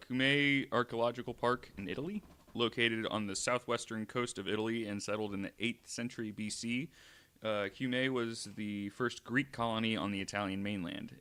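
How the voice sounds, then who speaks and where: Low-voiced man reading spooky notes. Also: clean tone. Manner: wry, deadpan commentary with frequent "uh" fillers. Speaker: podcast host. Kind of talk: reading notes with joking asides.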